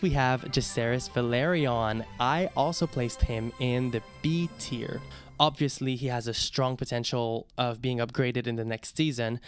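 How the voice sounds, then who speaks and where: A man with a Québécois French accent hosts a casual ranking video in English, his second language. He talks in a friendly, halting way with frequent "uh" and "um", at a mid pitch, with light music playing softly underneath. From Quebec, Canada. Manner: friendly, hesitant with many fillers. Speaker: YouTube host.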